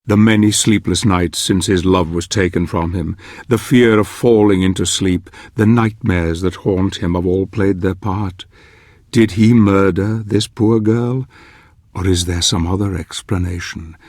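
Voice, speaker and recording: Slow, solemn older male chronicler. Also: deep voice, fairly even intonation, a little mellow, no echo, studio quality. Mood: grave, brooding. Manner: solemn, measured, theatrical. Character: grave older male chronicler, scheming mentor, solemn and foreboding. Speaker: narrator. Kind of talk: dramatic scripted narration for a fantasy game.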